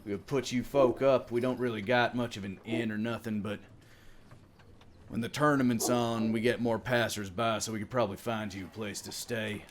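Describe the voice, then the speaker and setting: A man's voice: lowish voice, fairly even intonation, normal speed, slight background noise, close to an everyday voice. A man voicing a folksy orc tribesman who herds rhinos, grumbling but hospitable, with rustic turns of phrase, in a tabletop game.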